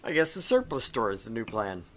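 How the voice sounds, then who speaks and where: A man's voice: on the low side, wildly swooping pitch, a little fast. A man voicing a bewildered young male student in a visual novel game, muttering in exasperation. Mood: exasperated.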